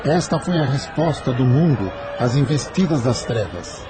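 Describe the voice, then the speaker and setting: A man's voice: mid-range voice, animated, normal speed, crowd noise, noisy background, close to an everyday voice. A man with a Brazilian accent playing a commanding spirit in an audio drama, grave and declamatory. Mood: commanding, triumphant. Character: commanding spirit witnessing a planet's fiery purge.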